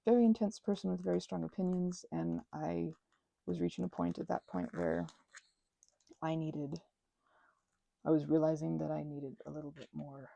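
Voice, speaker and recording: A woman with a breathy, soft-edged voice rambling intimately and casually, halting and interrupting herself as she reflects. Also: low-pitched, steady tone, no echo. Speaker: craft vlogger and ASMR creator. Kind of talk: rambling, intimate casual chat while crafting.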